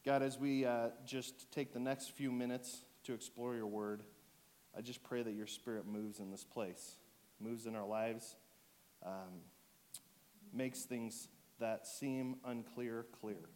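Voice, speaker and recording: A man with a sore throat and a fading voice, preaching to his church in a relaxed way, full of ums and restarts. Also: mid-range voice, slow. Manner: joking, relaxed, with fillers and restarts. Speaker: pastor. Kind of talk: sermon.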